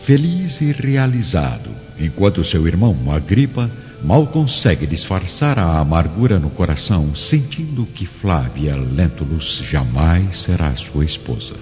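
A man's voice: low-pitched, sing-song, a little slow, few pauses, darkish tone. A man narrating a radio drama in a scripted storytelling style. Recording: dull lo-fi, long reverb, music behind.

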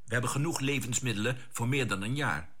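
An actor playing a decisive, composed spaceship captain in a radio drama, with a husky voice and an air of command. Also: male voice, mid-range voice, quick pace, pushed and bright. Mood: measured, assured. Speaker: expedition leader.

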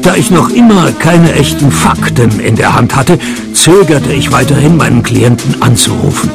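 An actor playing a wry, deadpan male private detective in a noir parody radio play. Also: medium pitch, moderate pace, vocal fry, music in the background. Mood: dry narrating. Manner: dry, sardonic, deadpan. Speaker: lead actor and narrator. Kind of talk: noir parody radio play, first-person narration and dialogue.

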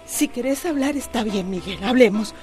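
A woman's voice: fairly high pitch, expressive, moderate pace, darkish tone, faint music behind, lightly acted. An actress with a Costa Rican accent playing an anxious but defiant wife and mother in a radio soap opera. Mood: upset, pleading.

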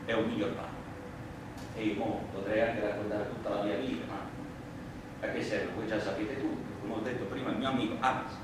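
A man's mid-pitched voice, far from the mic in a very echoey, noisy space. An actor plays an indignant immigrant worker on trial, speaking simply, deliberately and emphatically as in a stage courtroom drama. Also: close to an everyday voice. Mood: humble, grave. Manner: simple, emphatic, deliberate working-class oratory. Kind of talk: theatrical courtroom monologue. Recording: echoey, distant stage recording, clear noise.

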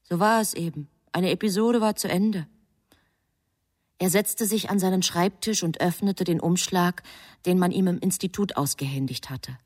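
An actress as a composed female storyteller, giving deliberate scripted narration in a literary radio play. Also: fairly low pitch, a little mellow, close to an everyday voice.

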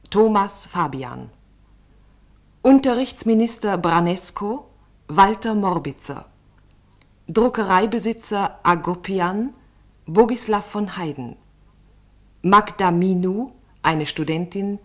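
A woman announcer reading the radio play's credits in a formal, measured way. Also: lowish voice.

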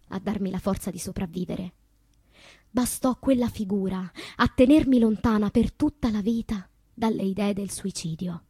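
An anguished female poet in a theatrical monologue. The voice is husky and recorded very dry, at a middle pitch. She declaims very slowly, leaving long pauses between phrases. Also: dark tone, dry recording. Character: anguished woman poet recalling loves, madness and death. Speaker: stage actress.

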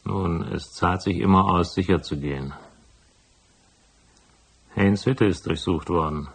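A hard-boiled detective in a noir radio play. His voice sits low and is husky with fry. He speaks drily and sparingly, always probing. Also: unhurried, heavily acted. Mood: matter-of-fact. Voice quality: gravelly, husky. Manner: dry, laconic. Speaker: first-person narrator and lead character. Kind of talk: noir radio drama acting and narration.

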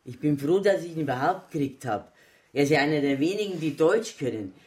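A woman's voice: sits low, expressive, brisk, a loud crowd. An actress playing a bossy, outspoken woman who runs a pub, with a Bavarian accent and a blunt manner, in a radio drama. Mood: matter-of-fact. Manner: blunt, matter-of-fact. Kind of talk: German radio crime drama acting.

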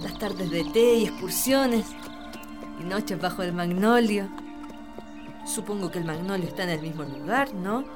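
A woman playing a young wealthy lady in a radio drama, tender and affectionate, with expressive, sighing delivery. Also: medium pitch, unhurried, close to an everyday voice. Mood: nostalgic. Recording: loud music behind radio drama dialogue.

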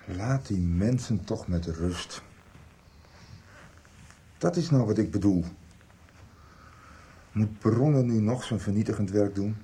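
A man's voice: lowish voice, slow, pauses often, mellow. A man playing an embittered, defensive schoolteacher in a Dutch radio soap. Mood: guarded, weary. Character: embittered, defensive schoolteacher arguing with his brother. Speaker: radio drama actor.

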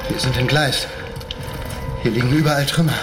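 A man's voice: medium pitch, steady tone, a little fast, over loud music, close to an everyday voice. An actor playing a matter-of-fact man who takes charge among survivors in a radio play. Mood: grim. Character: grown man Milton, a crash survivor.

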